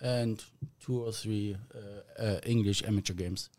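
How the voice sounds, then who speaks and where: A man from Germany with a German accent, a podcast guest talking in a halting, self-deprecating way with small grammar slips. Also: fairly low pitch, steady tone, a little mellow. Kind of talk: casual podcast chat.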